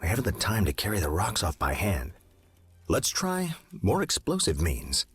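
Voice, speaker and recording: An actor playing a composed gentleman detective in a video game, husky and purposeful as he guides the player. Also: low-pitched, wildly swooping pitch, normal speed, dry recording, full character voice. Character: composed, deep-voiced gentleman detective companion. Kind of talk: video game character acting.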